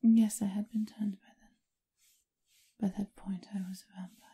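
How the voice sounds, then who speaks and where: A woman playing a doting, teasing, refined vampire mistress in an intimate ASMR roleplay, whispery and husky. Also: mid-range voice, little pitch movement, moderate pace, mellow. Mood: wry, confiding.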